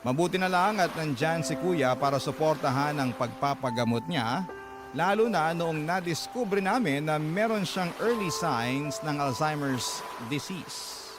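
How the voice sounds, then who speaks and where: Casting: burdened young Filipino father confiding on radio, higher-than-average voice, sorrowful pauses, over music. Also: lively intonation. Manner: halting, sorrowful, earnest. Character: troubled young father confiding his sad life story. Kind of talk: dramatized letter narration on Filipino radio.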